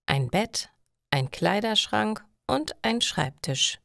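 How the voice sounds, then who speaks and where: Langsame, knarrende Frauenstimme, Hochdeutsch. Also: female voice, medium pitch, lively intonation, takes it slowly, gravelly, fairly bright tone, dry recording, clean studio sound. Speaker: narrator reading stories for German learners. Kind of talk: slow scripted story narration for language learners.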